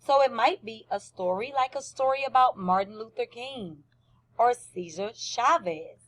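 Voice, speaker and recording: A woman's voice: on the high side, normal speed, poor audio. A woman teacher giving a lesson to young children, explaining simply, repeating points and asking questions. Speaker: first-grade teacher.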